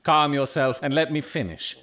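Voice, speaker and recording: An actor playing a coolly analytical Victorian gentleman detective in an audio drama, speaking precisely and formally with dry authority. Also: on the high side, moderate pace. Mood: commanding. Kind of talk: period audio drama acting.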